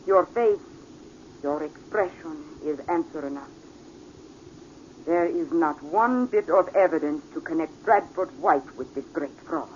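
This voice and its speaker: A woman's voice: sits low, darkish tone. An actress playing a worldly, passionate Italian woman with an Italian accent, speaking formally and theatrically in a vintage radio drama. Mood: grave, insistent. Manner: theatrical, deliberate, formal foreign phrasing. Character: worldly Italian woman, mature, passionate, secretly protective mother. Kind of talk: 1940s radio drama acting. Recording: muffled, noisy vintage radio recording.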